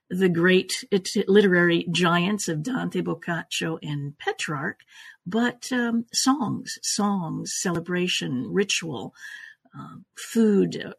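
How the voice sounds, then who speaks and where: An older woman from Canada, born in Italy, answering reflectively as an interview guest, halting as she thinks aloud. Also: lowish voice, creaky, husky. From born in Italy, raised in Canada. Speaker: guest, folklorist and oral historian.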